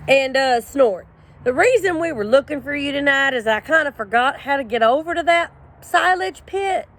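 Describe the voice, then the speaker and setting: A woman's voice: sits high, animated, normal speed, fairly bright tone, pitched above the performer's own voice. A woman voicing a boastful male ranch dog who talks in a pompous, rambling way. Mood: blustering. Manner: pompous, rambling, full of bluster.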